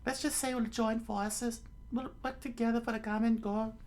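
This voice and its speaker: Man playing young woman, high falsetto, exasperated, sharp-tempered, adventure story. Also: little pitch movement, normal speed, slight background noise. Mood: reluctant.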